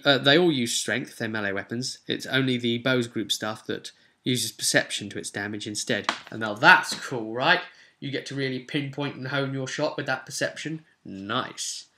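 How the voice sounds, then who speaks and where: Bright-voiced young English man, chatting. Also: mid-range voice. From England. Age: 20s. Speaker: host of tabletop roleplay channel. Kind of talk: casual tutorial chat to viewers. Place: small home room, indoors.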